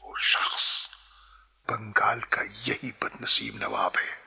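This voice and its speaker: A secret patriot disguised as a half-mad servant in a radio play. The voice is raspy and creaky with a hard, forceful edge. He speaks earnestly and secretively, often dropping toward a whisper. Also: on the low side, level tone, takes it slowly, exaggerated voice. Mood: impassioned, hushed. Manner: conspiratorial, earnest, dropping into breathy hush. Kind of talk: theatrical Hindi radio-play acting.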